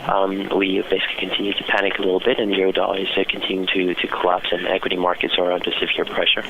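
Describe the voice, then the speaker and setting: Fast-talking man on a tinny phone line. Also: low-pitched, completely flat delivery, background noise. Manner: hesitant, halting phrasing with frequent uhs and repeated words. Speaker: financial market analyst interviewed as guest. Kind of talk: spontaneous market commentary in a phone interview. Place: office, on a phone call. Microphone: telephone.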